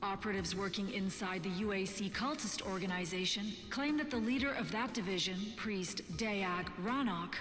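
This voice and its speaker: Actress as female resistance news announcer, video game, formal, earnest, measured delivery, long echoing reverb, music underneath. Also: mid-range voice, a bit bright, natural voice. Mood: grave, formal. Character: resistance radio broadcaster, sober woman delivering hopeful war news. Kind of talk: scripted radio news broadcast. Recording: echoing broadcast with music bed.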